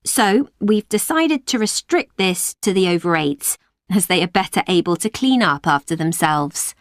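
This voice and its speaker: Actress as a club organizer briefing parents. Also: female voice, on the low side, expressive, dry recording, clean studio sound, lightly acted. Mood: informative. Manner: clear, measured, informative. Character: friendly woman running an after-school club. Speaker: after-school club staff member addressing parents. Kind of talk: scripted exam recording.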